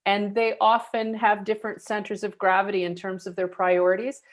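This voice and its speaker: A woman hosting an online group session, calling on participants by name in a brisk, practical way. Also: mid-range voice, flat delivery. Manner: brisk, calls on people by name. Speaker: host.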